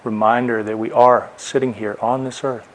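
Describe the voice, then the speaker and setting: A man giving guided meditation instruction as a teacher, speaking in short, unhurried phrases with long pauses. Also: medium pitch, little pitch movement. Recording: clear background noise, room ambience.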